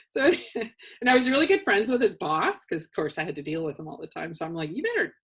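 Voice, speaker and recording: A middle-aged woman with a low voice, co-hosting a casual chat and telling stories with dry humor and frequent laughter. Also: big pitch swings, a little fast, a bit bright, husky. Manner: chatty storyteller, dry humor, laughs often. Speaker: co-host. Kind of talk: casual chat on a live show.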